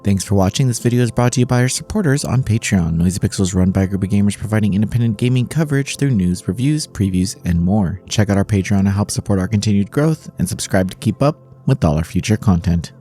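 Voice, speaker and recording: A man reading a scripted video game review in a measured, formal way, as a voice-over. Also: fairly low pitch, warm tone. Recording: voice-over with background music. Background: music.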